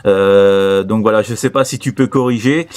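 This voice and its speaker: Halting man on casual radio chat. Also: on the low side, a little mellow. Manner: repetitive, halting, peppered with verbal tags. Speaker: amateur CB radio operator.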